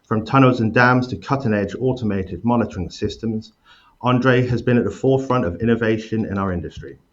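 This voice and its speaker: Male podcast host, low voice, brisk and upbeat, dull lo-fi audio. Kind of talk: scripted intro then interview chat.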